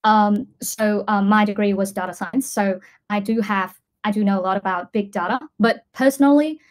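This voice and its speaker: A woman's voice: medium pitch, steady tone, moderate pace. A woman, answering casually in an online lesson, thinking aloud as she goes. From Vietnam. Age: 20s. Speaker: English student, data scientist.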